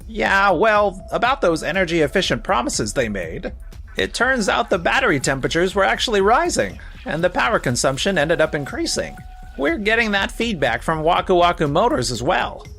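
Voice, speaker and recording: A man's voice: high-pitched, big pitch swings, moderate pace, gravelly, sharp tone, soft background music, heavily acted. A man playing a stern, exacting corporate manager in a scripted manga dub, probing and reproachful. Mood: grave.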